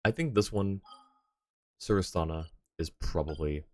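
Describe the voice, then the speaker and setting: A man's voice: low voice, unhurried. A man talking casually on a live gaming stream, rambling and thinking aloud as he goes. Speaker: game livestreamer.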